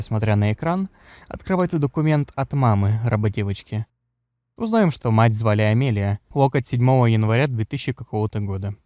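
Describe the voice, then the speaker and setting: A man's voice: mid-range voice, warm tone. A man reading scripted narration for a game walkthrough video.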